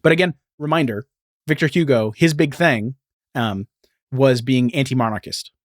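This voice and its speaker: A man's voice: medium pitch, moderate pace, no echo, studio quality. A man hosts a podcast in a mid-range voice with very little room sound. He explains history and film plots informally, like casual storytelling. He often wanders into asides before picking the thread back up. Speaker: podcast host.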